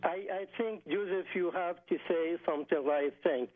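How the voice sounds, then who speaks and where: A man's voice: sits high, normal speed. A man from Iraq with an Iraqi Arabic accent, a native Arabic speaker calling in to a TV show in broken English, earnest and interrupting. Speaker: caller. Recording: tinny phone line.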